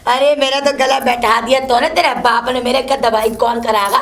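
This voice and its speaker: A woman playing a shrill, cursing old mother-in-law who keeps scolding her daughter-in-law. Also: steady tone, normal speed, a bit bright. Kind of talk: comic one-woman sketch.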